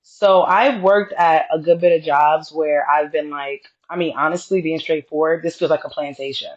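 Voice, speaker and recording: Low-voiced woman with a reserved tone. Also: steady tone, normal speed, muffled recording. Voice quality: deeper voice. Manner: reserved, straightforward tone. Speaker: podcast co-host. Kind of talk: casual conversation.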